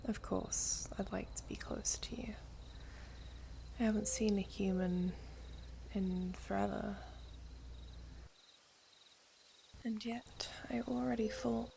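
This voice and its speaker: Casting: woman as elven princess for ASMR fantasy roleplay; hushed, unhurried, creaky-edged, tender yet guarded. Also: medium pitch, steady tone, background noise. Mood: wistful longing. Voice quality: breathy, whispery. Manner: slow, hushed, formal storybook phrasing. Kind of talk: ASMR roleplay, soft whispery close speech.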